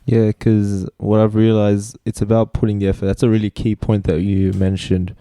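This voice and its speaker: A man with a fairly low, mellow voice co-hosts a casual podcast chat. He is easygoing and chatty and keeps the conversation loose, with a clear, smooth tone. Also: little pitch movement, no echo, clean studio sound. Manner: easygoing, chatty, quick to laugh along. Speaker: podcast co-host.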